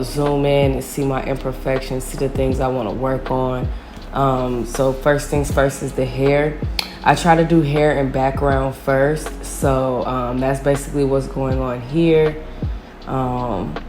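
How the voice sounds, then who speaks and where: A woman walks through a photo retouch in a loose, filler-heavy tutorial manner. Her voice sits very low and sounds scratchy from a cold. The recording has an echoey room with faint music underneath. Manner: relaxed, many fillers and asides. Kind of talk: casual screen-recorded editing tutorial.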